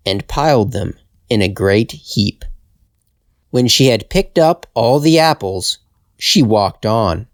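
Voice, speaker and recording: Male narrator, very slow deliberate delivery, animated intonation, dry close sound, fairytale reading. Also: medium pitch, no room sound. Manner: deliberate, expressive, carefully phrased. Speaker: storyteller, narrator. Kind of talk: slow scripted fairytale narration for English learners.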